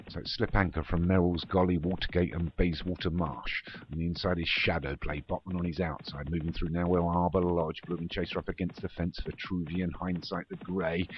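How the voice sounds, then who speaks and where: Very deep-voiced man calling a horse race. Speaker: race commentator for an online racing game league. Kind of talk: running horse race commentary. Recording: dull, noisy home recording with clicks.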